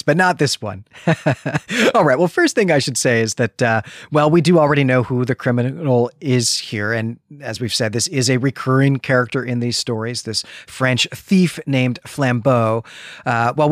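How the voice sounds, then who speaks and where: A man's voice: medium pitch, dry recording, studio quality. A man hosting a literary podcast, talking in a chatty, discursive way with wry asides. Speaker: podcast host.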